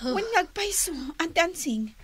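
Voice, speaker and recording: Very high-pitched teasing young niece. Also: female voice, very animated, a little slow, dry recording, full character voice. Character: teasing young niece calling her auntie. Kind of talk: Ilocano radio drama acting.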